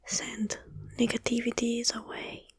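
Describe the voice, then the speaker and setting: Very slow whispering woman, ASMR meditation. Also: fairly high pitch, completely flat delivery. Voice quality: breathy whisper. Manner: hushed, soothing, drawn-out phrases with long pauses. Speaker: ASMR channel host and meditation guide.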